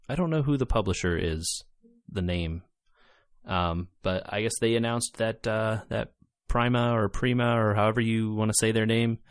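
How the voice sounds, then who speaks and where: A man co-hosting a podcast, chatting casually about video games and retelling things in an animated way. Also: fairly low pitch, smooth, no echo, clean studio sound. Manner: animated retelling, acting out quotes, laughing often. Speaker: podcast co-host.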